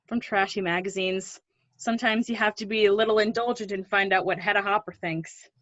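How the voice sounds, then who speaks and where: A woman reading the part of an older, guarded but protective woman in a play, conversational and gently teasing. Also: medium pitch, fairly even intonation, brisk, no room sound, highs cut off, close to an everyday voice. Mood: wry, teasing. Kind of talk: play readthrough acting.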